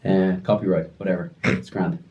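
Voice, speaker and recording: A young man telling a story as a podcast guest, joking and rambling with quick asides. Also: deep voice, flat delivery, highs cut off, noisy background. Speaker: podcast guest, music producer and DJ. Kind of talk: casual storytelling chat.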